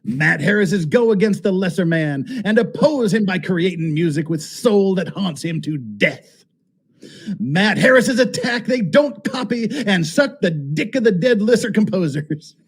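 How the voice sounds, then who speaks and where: A man with a noticeably high, husky voice delivers a dramatic reading of a written text. He sounds amused by what he reads and slips in asides of his own. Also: expressive, a little slow. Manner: amused, often breaking into laughter as he reads. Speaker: reader and commentator.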